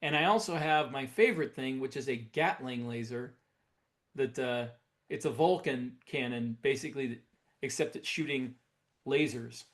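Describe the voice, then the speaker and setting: A man's voice: mid-range voice, normal speed. A man speaking as a podcast co-host in casual, enthusiastic, explanatory hobbyist chat. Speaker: podcast co-host, science fiction author. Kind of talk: casual hobbyist chat about sci-fi weapons.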